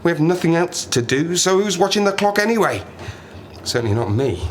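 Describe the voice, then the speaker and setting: A man's voice: fairly high pitch, expressive, brisk, a little hiss or hum, natural voice. An actor playing a lonely, half-mad plane-crash survivor in an audio drama, rambling and self-mocking as he talks to himself. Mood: wry, manic. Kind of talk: audio drama monologue, diary-like.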